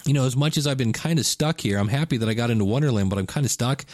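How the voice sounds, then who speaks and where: A man's voice: medium pitch, racing, darkish tone, no echo, studio quality. Chatty rambling man in his fifties. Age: 50s. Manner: rambling, self-correcting, chatty. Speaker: podcast host. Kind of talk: casual solo podcast monologue.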